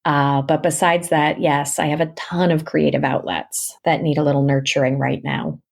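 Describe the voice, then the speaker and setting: Female interview guest, low register, conversational, effusive and emphatic. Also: moderate pace, vocal fry. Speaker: interview guest, actor and audiobook narrator.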